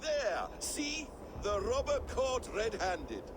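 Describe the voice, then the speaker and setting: An actor playing a sly, vengeful trickster god in a video game, theatrical, taunting and smug. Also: male voice, very high-pitched, huge pitch swings, slow pace, heavily acted. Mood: accusing. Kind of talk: dramatic video game acting. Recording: game cutscene with clear background noise.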